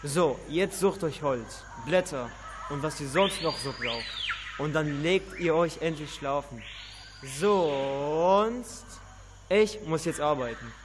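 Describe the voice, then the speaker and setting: A man acting a menacing pirate captain in an amateur radio play, slow and commanding, in a reverberant recording. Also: on the high side, animated, background noise. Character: bossy male pirate captain ruling his island, menacing.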